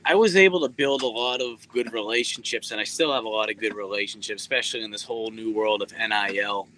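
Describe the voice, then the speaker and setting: A man in his thirties, a sports reporter, talking earnestly in a relaxed interview with many fillers. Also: on the low side, quick pace, rough recording. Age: 30s. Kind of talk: casual interview talk.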